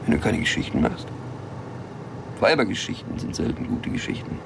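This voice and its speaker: A cynical nervy coke dealer, radio crime drama, dry slangy lines, lots of fry. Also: male voice, deep voice, sing-song, moderate pace, background noise, heavily acted. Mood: weary, laconic. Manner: laconic, sardonic, slangy. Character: Blum, cynical, nervy small-time cocaine dealer.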